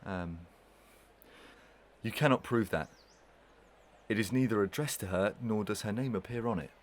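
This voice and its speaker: An actor playing a haughty, evasive gentleman villain in a Victorian melodrama, pompous, formal and disdainful. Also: lowish voice, moderate pace, close to an everyday voice. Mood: scornful, defiant. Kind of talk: Victorian melodrama audio play acting.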